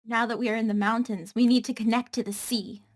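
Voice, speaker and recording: A woman's voice: fairly high pitch, little pitch movement, a little fast. A woman reading character lines aloud in an amateur script table read.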